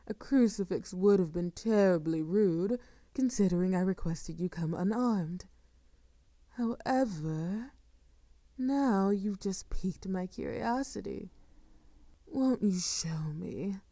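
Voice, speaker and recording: Slow, velvety dark vampire seductress played by a woman. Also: medium pitch, animated, dry recording. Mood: curious, coy. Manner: silky, formal, teasing, often dropping to a whisper. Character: sadistic, seductive female vampire, courtly and predatory. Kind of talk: intimate audio roleplay acting. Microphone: close microphone, dry.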